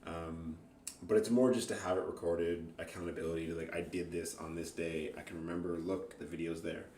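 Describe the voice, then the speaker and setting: A man's voice: sits low, level tone, fairly quick, echoey room. A man chatting casually and informally as an amateur musician, rambling in a self-deprecating way. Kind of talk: casual, rambling chat between songs.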